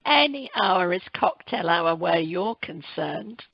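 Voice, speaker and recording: A woman's voice: fairly low pitch, lively intonation, takes it slowly, creaky, no room sound, muffled recording, heavily acted. A woman playing a dry, sardonic holidaymaking friend, teasing and sarcastic, in an amateur radio comedy recorded by telephone.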